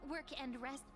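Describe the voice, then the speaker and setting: A woman's voice: high voice, exaggerated voice. An actress playing a cheerful, curious young adventurer woman in a video game, speaking expressively over background music. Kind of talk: video game character dialogue.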